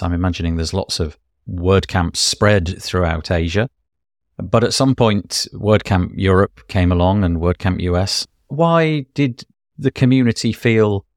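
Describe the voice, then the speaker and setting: A man's voice: low voice, no echo, studio quality. A man interviewing a guest, asking long, courteous questions and apologizing politely along the way. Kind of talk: podcast interview.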